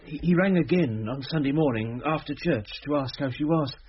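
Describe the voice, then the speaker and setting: A man's voice: on the high side, fairly quick, lo-fi sound, a little hiss or hum, lightly acted. An actor playing an anxious, protective householder in a radio drama, a British man who stammers nervously and speaks deferentially. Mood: flustered.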